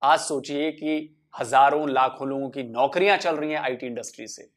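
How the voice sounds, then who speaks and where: A man talking earnestly to the camera, measured and persuasive, building his points through repetition. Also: medium pitch, fairly even intonation.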